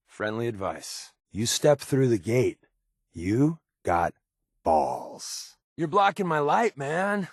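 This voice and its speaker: An actor playing a wry, irreverent undercover resistance agent in a video game, with an American accent and a casual, joking, slangy way of talking. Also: male voice, mid-range voice, no room sound, clean studio sound, full character voice. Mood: casual, confiding.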